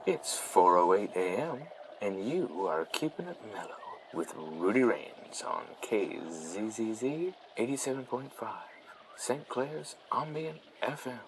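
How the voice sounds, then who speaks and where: A slow, soothing late-night radio DJ played by a man keeps things mellow and calm. His unhurried patter sits in loud ambient noise, like an easygoing station for sleepy listeners. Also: fairly low pitch, poor audio. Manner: soothing, unhurried, hushed-morning delivery.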